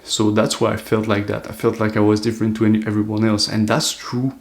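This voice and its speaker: A man's voice: fairly low pitch, level tone, fast. An eighteen-year-old man talking to camera in an earnest, loose, reflective monologue, full of "like" fillers. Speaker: self-improvement vlogger.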